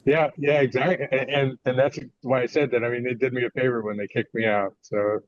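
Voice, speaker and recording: An American man in his sixties speaking conversationally as an interview guest, often pausing mid-thought. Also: medium pitch, little pitch movement, no room sound. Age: 60s. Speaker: guest author. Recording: slightly dull, lo-fi audio.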